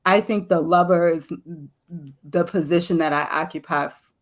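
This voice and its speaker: A woman in casual, reflective conversation, speaking thoughtfully in halting phrases. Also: on the low side. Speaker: poet, guest speaker.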